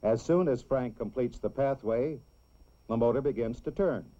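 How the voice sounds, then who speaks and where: A man narrates a scripted educational film for children in a measured, clearly explanatory way. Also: mid-range voice, mellow. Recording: dull, lo-fi old film soundtrack.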